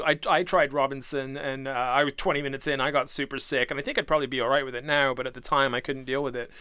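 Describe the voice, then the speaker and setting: A chatty man co-hosts a podcast. His voice is raspy today, yet it stays bright and clear, recorded close and dry. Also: medium pitch, no room sound. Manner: chatty, laughs easily, many fillers. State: raspy voice from waking up. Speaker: podcast co-host. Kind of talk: casual podcast chat.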